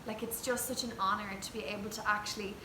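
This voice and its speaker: A woman's voice: mid-range voice, steady tone, fast talker. Chatty young Irish woman in echoey room. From Ireland. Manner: earnest, chatty, lots of "like" and "you know". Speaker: interviewee, traditional singer. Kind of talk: casual chat. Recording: echoey room with background noise.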